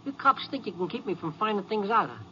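A man's voice: high-pitched, big pitch swings, fast talker, bright, forceful tone, full character voice. An actor playing a cocky but cowardly convict in a 1950s American radio drama, talking in tough-guy slang. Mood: smug, boastful. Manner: slangy tough-guy talk, stammering when frightened. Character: cocky male convict, boastful, cowardly when threatened. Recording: muffled, noisy 1950s radio recording.

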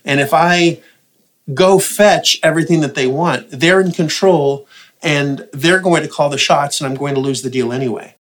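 A man's voice: fairly high pitch, normal speed, a bit bright. A man hosting a podcast in casual conversation, talking to guests about negotiating. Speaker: podcast host. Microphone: podcast mic.

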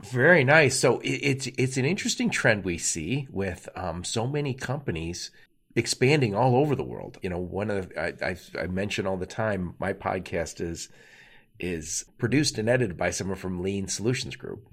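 A man hosting a podcast interview, friendly and quick to jump in with short questions. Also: low-pitched, expressive, fairly bright tone. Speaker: podcast host. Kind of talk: conversational interview.

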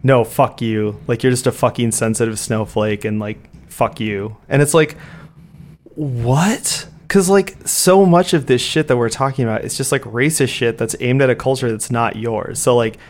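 A man's voice: mid-range voice, moderate pace. A man co-hosting a podcast, talking bluntly in casual chat and swearing freely as he airs strong opinions. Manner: blunt, profane, heated opinions. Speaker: podcast co-host.

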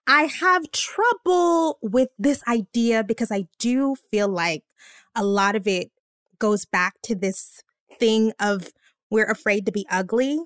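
Woman guest, fairly high voice, earnest and emphatic, dry sound. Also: slow pace, fairly bright tone, no echo. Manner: earnest, emphatic, rising pitch when stressing points. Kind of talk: earnest conversation.

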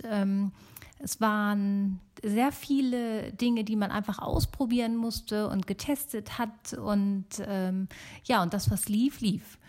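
A woman's voice: medium pitch, a little mellow. A middle-aged woman from Germany talking casually and alone as a podcast host.

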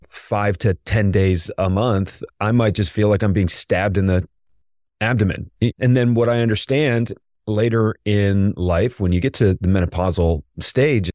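A middle-aged man co-hosting a podcast, his voice raspy today, reflecting in casual chat and searching for words. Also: on the low side, a little mellow, no room sound, clean studio sound. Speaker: podcast co-host.

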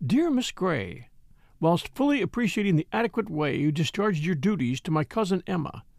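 A man's voice: sits high, big pitch swings, normal speed, vocal fry, a little mellow, no room sound, clean studio sound, exaggerated voice. Man reading as a pompous, stuffy married lady. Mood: starchy. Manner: formal, pompous. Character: stuffy, self-important married lady composing formal letters.

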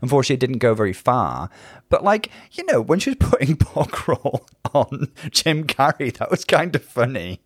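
A man's voice: medium pitch, very animated, moderate pace, no room sound, studio quality. A man co-hosting a casual review podcast, chatty and opinionated, with plenty of fillers. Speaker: podcast co-host.

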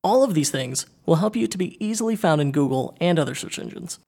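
Energetic podcast host guy, voice on the higher side, reads ads and chats. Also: fast, vocal fry. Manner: upbeat, energetic. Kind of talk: read ad spots and casual interview.

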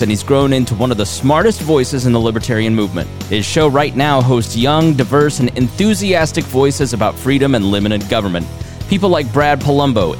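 Male podcast host over guitar music. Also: medium pitch. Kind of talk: podcast hosting with promo reads.

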